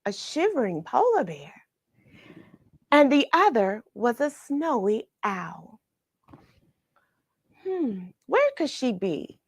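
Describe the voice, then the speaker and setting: A woman telling a children's picture story in an expressive way, pausing for chatty questions to the young listener. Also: fairly high pitch, husky, dry recording, studio quality. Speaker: children's read-along storyteller and host. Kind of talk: animated picture-book read-aloud with questions to young listeners.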